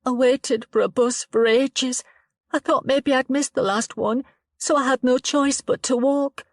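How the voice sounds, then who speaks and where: A woman's voice: fairly even intonation, no room sound, clean studio sound. A woman voicing a tearful, shaken young woman. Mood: upset. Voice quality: trembling, halting and upset, rising higher when distressed. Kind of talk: dramatized audiobook reading.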